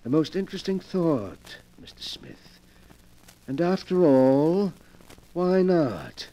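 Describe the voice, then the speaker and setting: Sinister gentleman collector, suave, theatrical, highly animated delivery, scheming, old-time radio drama. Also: slow, low-quality recording. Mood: brooding. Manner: suave, theatrical, menacingly polite, chuckling. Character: sinister wealthy male collector of murder relics, wheelchair-bound, scheming. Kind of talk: 1940s radio acting. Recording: 1940s radio drama recording.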